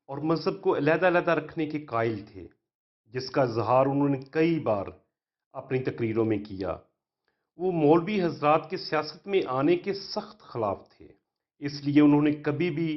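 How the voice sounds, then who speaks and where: A man with a Pakistani accent reading a formal, measured scripted educational narration as a history narrator. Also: mid-range voice, highs cut off.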